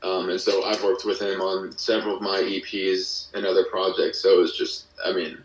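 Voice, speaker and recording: A man's voice: deep voice, flat delivery, moderate pace, over a noisy crowd. A young American man chatting casually as an interview guest, explaining things in a rambling, self-interrupting way. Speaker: podcast guest, musician and audio drama creator. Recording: roomy echo, distant from the mic.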